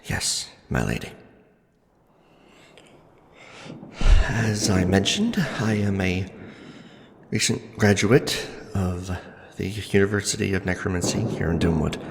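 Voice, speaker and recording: A man acting as a nervous young necromancer in a fantasy audio roleplay, speaking formally and pleadingly with halting politeness. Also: deep voice, creaky, slight background noise. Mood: meek, formal. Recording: long hall reverb, faint music. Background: faint background music.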